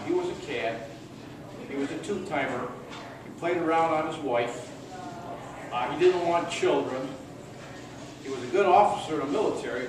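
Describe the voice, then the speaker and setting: Older male host, slightly high voice, chatty and rambling, very reverberant live room. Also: recorded from a distance. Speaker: host and narrator of an amateur radio play. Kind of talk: informal introduction to an audience. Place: large echoey room before a live audience. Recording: very echoey noisy hall, off mic.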